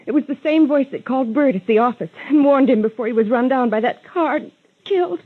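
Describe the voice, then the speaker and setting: An actress playing a frightened, pleading young secretary in a 1950s radio melodrama, with a breathy, hesitant delivery. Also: female voice, high voice, a little fast. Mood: frightened, tearful. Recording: tinny, roomy vintage radio recording.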